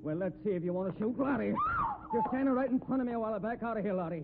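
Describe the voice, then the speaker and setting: An American actor playing a crooked, threatening rodeo boss with rough folksy speech in a 1950s radio drama. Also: male voice, high-pitched, very fast. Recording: noisy, tinny old 1950s radio recording.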